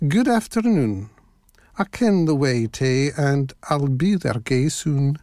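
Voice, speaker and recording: A woman's voice: a bass voice, lively intonation, slow, mellow, no echo, clean studio sound, full character voice. A woman voicing a small, innocent, polite little girl with a Scottish accent, chattering artlessly in a children's story. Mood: politely firm.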